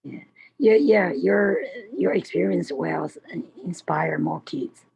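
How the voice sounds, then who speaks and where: A woman hosting a podcast on a video call, halting and repeating herself. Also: lowish voice, vocal fry, darkish tone, husky, low-quality recording. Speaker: podcast host. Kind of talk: casual video call chat.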